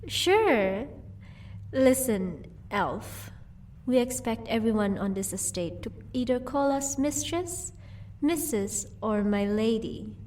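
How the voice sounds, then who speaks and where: A woman's voice: a little high, animated, slow pace, a little mellow. A woman playing an amused, haughty vampire wife in an audio roleplay, teasing in a cool, matter-of-fact way. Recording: voice distant from the microphone.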